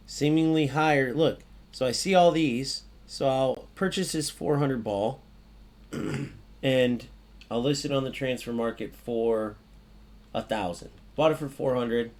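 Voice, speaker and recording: Raspy-voiced young man, casual game talk. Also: medium pitch. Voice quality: raspy, voice cracking. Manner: casual, filler-heavy, frequent "right?". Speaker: gaming channel host. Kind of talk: casual gameplay commentary.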